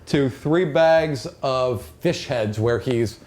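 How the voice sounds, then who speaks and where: A man's voice: mid-range voice, slow, darkish tone, a little hiss or hum. A man running a tabletop role-playing game as game master, narrating casually and ruling bluntly, with some swearing.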